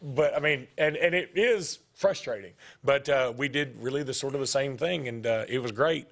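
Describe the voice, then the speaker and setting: A man's voice: moderate pace, vocal fry. A middle-aged man, hosting a sports talk show and rambling through stories in casual chat. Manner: rambling storytelling, jumps in pitch when animated.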